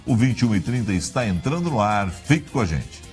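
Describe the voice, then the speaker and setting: A man's voice: fairly low pitch, sing-song, fairly quick, over loud music. A man hosting a TV interview show, chatty and leaning on "né?" as he talks. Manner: chatty, peppers speech with "né?". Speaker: TV talk show host.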